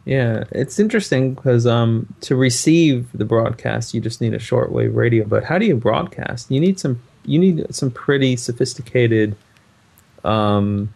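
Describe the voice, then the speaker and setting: Creaky-voiced man chatting hesitantly. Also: medium pitch, unhurried, darkish tone. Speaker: podcast co-host. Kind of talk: casual chat.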